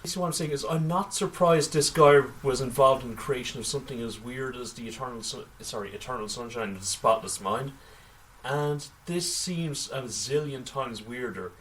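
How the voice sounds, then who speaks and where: A man co-hosting a casual film news podcast, sometimes reading items out, with halting delivery and wry asides. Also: mid-range voice, fairly bright tone, slight background noise. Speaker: podcast co-host. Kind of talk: casual film news discussion, partly read out. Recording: voice slightly distant from microphone.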